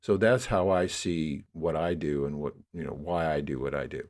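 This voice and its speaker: Deep-voiced American man in his sixties. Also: moderate pace, darkish tone. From New York area, United States. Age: 60s. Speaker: interview guest, media producer. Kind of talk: reflective conversational interview.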